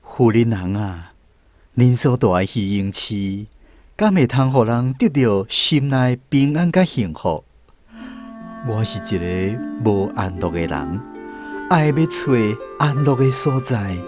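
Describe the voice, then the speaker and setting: A man's voice: mid-range voice, animated, slow, a little mellow, no room sound. A man acting in a Taiwanese radio drama as an earnest, troubled lost soul who questions and ponders as he searches for peace. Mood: doubtful, searching. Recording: music bed under the voice.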